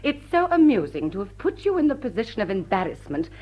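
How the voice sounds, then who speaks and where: Teasing society lady, husky and lilting. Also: mid-range voice, sing-song, quick pace, lo-fi sound. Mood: amused, cutting. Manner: arch, elegant, mock-sweet. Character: poised, witty, teasing society lady, coolly rebuking. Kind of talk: vintage drawing-room comedy radio drama.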